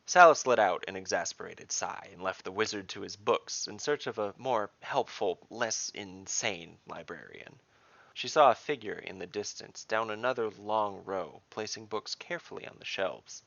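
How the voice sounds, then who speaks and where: Male fantasy narrator: low, forceful voice, scripted storytelling, dry and slightly lo-fi recording. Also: animated, moderate pace, vocal fry, no room sound.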